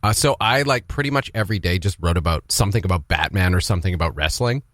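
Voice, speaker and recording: Male radio co-host, lively swooping intonation, dry close studio sound, chatty and joking. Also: deep voice, quick pace, no room sound. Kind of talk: casual radio banter.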